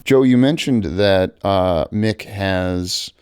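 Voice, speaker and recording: Smooth-voiced low male podcast host. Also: darkish tone, no room sound, studio quality. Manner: joking, quick with teasing asides. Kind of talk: casual interview chat.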